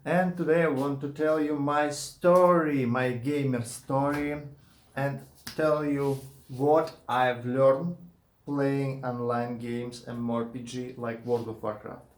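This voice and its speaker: A man with a Russian accent, a native Russian speaker, talking casually to viewers as a gamer and searching for his words. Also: mid-range voice. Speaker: gamer and YouTuber. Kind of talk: casual vlog monologue. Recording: echoey room.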